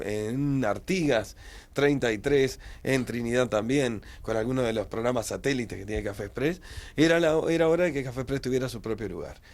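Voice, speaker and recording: Fluent radio host. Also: male voice, mid-range voice. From Uruguay. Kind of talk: interview answers, recounting career. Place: small radio studio.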